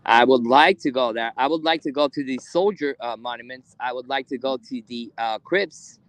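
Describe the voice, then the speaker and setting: A man hosting a live ghost hunt in a cemetery at night, speaking haltingly with many fillers as he calls out to spirits. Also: mid-range voice, fairly bright tone, no room sound, rough recording. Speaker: ghost hunter streaming live. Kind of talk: live paranormal investigation stream.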